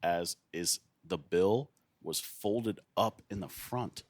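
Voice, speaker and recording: Male podcast guest. Deep voice, dry close sound, loose rambling banter, swears freely. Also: slow, dry recording. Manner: rambling, profane. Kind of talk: casual banter.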